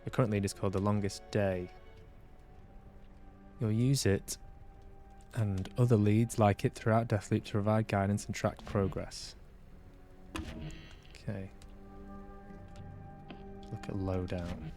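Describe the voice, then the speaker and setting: A man's voice: fairly low pitch, steady tone, takes it slowly, pauses often, mellow, faint music behind. A man hosting a live game stream, reading on-screen text aloud with casual asides. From England. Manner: casual asides between read-out passages. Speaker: game streamer and podcast host.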